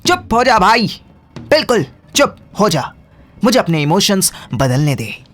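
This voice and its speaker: A man's voice: high-pitched, very animated, a little slow, a bit bright, full character voice. A man playing a cheeky, over-dramatic younger friend with theatrical delivery in a comic audio drama. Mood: mocking, theatrical. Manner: theatrical, swooping, mock-announcer delivery. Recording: music behind the voice.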